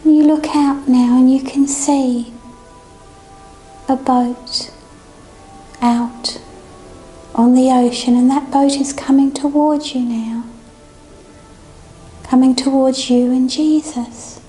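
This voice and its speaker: A woman leading a guided meditation in a gentle, soothing, unhurried manner. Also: sits high, steady tone, low-quality recording. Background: music.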